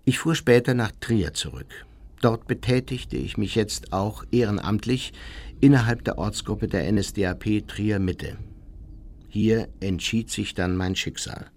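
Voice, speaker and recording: An unrepentant Nazi war criminal telling his life story for a radio play. The voice is fairly low, and he recounts everything in a measured, factual way. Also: a little mellow. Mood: self-justifying, factual. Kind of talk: radio drama memoir narration.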